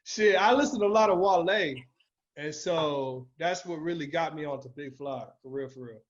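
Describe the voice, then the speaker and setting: Man, American accent, fairly high voice, slangy, profane, casual, muffled lo-fi sound. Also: normal speed. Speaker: podcast co-host. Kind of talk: casual chat.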